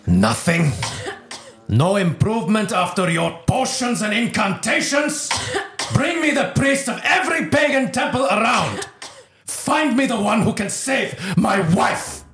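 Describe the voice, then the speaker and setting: A man's voice: sits low, animated, very slow, pauses often, a little mellow, exaggerated voice. A man playing a desperate, imperious pagan governor in a Bible audio drama. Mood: desperate, furious.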